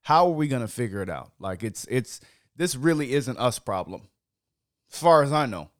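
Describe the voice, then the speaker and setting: A young man hosting a casual wine-tasting podcast, hoarse from yelling, talking loosely with lots of fillers and swearing. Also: mid-range voice, expressive, normal speed, dry recording, studio quality. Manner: loose and chatty, many "like" fillers, swears freely. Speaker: podcast host.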